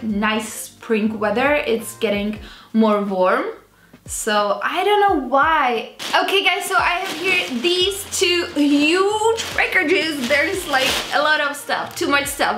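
Female vlogger, twenties, Czech accent. High-pitched, casual and self-mocking chat to camera, roomy recording with music underneath. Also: fairly bright tone. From Czech Republic. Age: 20s. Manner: chatty, rambling, self-mocking. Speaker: video blogger presenting a clothing haul. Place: small indoor beauty room with vanity.

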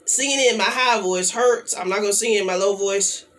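A young woman chatting casually to the camera in a small room, clearing her throat now and then. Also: mid-range voice. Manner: slow, relaxed talk with frequent throat clearing. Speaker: channel host. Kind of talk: casual vlog chat. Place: small indoor room, plain backdrop.

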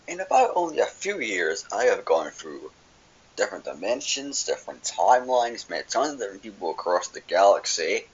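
A husky, weary cartoon hero, acted by a man, speaks in a low, brooding voice. He is cold and defiant, measuring out each phrase slowly. The home recording is dull and muffled. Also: very animated, full character voice. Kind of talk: amateur animated character voice demo.

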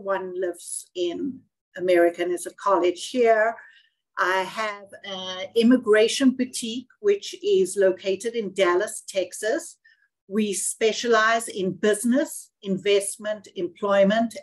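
A middle-aged woman with a clear South African accent speaks as an immigration attorney on a webinar. Her delivery is earnest, measured and professional. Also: mid-range voice, poor audio. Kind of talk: webinar self-introduction.

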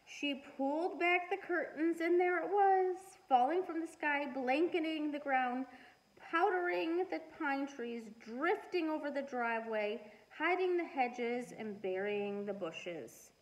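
Slow-paced, higher-voiced woman reading aloud. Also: animated, highs cut off. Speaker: teacher reading aloud to children. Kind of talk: storybook read-aloud and casual chat to children. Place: living room at home.

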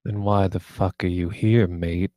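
A man's voice: deep voice, fairly even intonation, a little slow, mellow, clean tone, no room sound. A man playing a crude, domineering gambler who runs a poker table, curt and commanding, in a script table read. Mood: menacing, curt.

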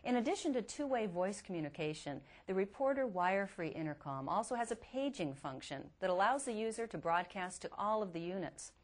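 A woman's voice: medium pitch, animated, brisk, few pauses. A woman reporter narrating a scripted promotional product report.

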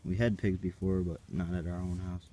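Guy rambling thru his farm tour outside, low voice, kinda dark tone, phone mic. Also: level tone, moderate pace, a little hiss or hum. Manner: rambling, hesitant, unsure of plans. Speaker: farm vlogger. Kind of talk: casual walkaround tour. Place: outdoors on a farm yard and woods.

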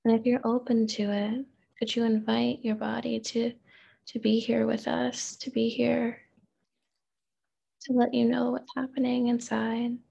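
A woman therapist leading a guided meditation in a gentle, unhurried manner, with pauses and open questions. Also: fairly high pitch, flat delivery, creaky, slightly rough, dull audio.

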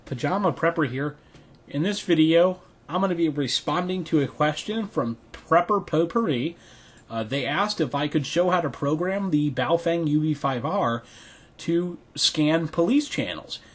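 Husky-voiced man in a casual tutorial. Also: on the high side, expressive, unhurried. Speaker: video creator demonstrating radio gear. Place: small bedroom, indoors.

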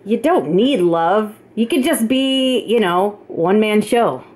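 A woman's voice: medium pitch, animated, unhurried, a little mellow, natural voice. A woman voicing a hot-tempered, blunt and irritable teenage boy student in an amateur puppet show. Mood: irritated, blunt.

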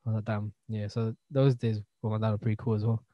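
A man's voice: fairly low pitch, fairly even intonation, quick pace, dry recording, highs cut off. A man in his twenties with a London accent, chatting and telling stories in slangy speech as a podcast co-host. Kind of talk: casual chat, storytelling. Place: indoors, remote video call.

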